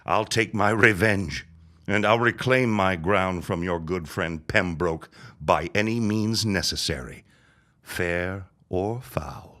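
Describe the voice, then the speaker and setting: A man's voice: sits low, takes it slowly, sharp tone, heavily acted. A man playing a bitter, vengeful old dying reverend in a period audio drama, speaking in a grandiose, scornful way. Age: older. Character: bitter, vengeful, near-death old reverend and landowner father.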